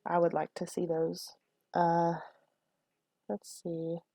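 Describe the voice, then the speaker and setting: A woman's voice: lowish voice, completely flat delivery, slow, no echo. A young woman with a sniffly, stuffed nose, chatting casually and rambling with lots of fillers while she shows a build. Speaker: Sims build video creator. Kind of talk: casual chat over a speed build. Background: soft lo-fi music.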